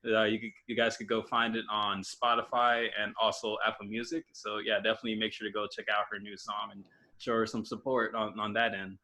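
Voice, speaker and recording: A man's voice: on the low side, flat delivery, sharp tone, low-quality recording. Young guy hosting a podcast, lots of um and uh, restarts everywhere. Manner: halting, many fillers and restarts. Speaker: podcast host. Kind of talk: casual interview chat.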